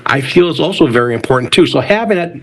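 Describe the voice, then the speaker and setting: A man giving his opinion as a DJ on a casual panel, earnest, in halting phrases. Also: on the high side, expressive, a bit bright, husky.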